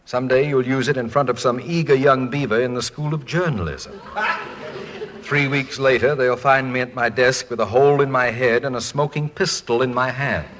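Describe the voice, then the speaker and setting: An actor playing a fretful, erudite college president and husband in 1940s radio comedy, dry, eloquent and mock-pompous. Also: male voice, mid-range voice, steady tone, normal speed, fairly bright tone, close to an everyday voice. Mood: wry, exasperated.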